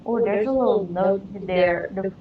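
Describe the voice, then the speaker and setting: A woman's voice: moderate pace, a crowd in the background. A woman making casual, offhand remarks. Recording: dull, lo-fi mic with rumbling noise.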